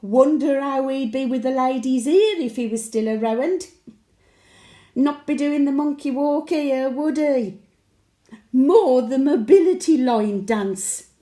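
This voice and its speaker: A woman's voice: sits high, slow pace, dark tone, roomy sound. A woman playing a cheeky, wistful elderly market-trader widow with a Birmingham accent, telling her tales in a chatty, colloquial audio monologue. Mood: wry, scornful. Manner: colloquial storytelling with dry chuckling asides. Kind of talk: audio monologue, chatty diary addressed to late husband.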